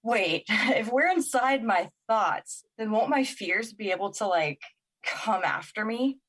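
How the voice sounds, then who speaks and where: A woman's voice: a little high, expressive, takes it slowly, a bit bright, heavily acted, low-quality recording. An actress in an audio drama playing a wounded, wary young woman who talks in a casual, hesitant and candid way. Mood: wary, curious.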